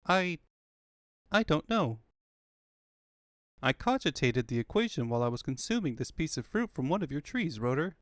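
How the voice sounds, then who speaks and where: A man's voice: fairly high pitch, big pitch swings, normal speed, long pauses, dry recording, clean studio sound. A man voicing a small, pompous genius fox boy with wordy, pedantic speech for a comic fandub. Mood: smug. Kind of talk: comic fandub character acting.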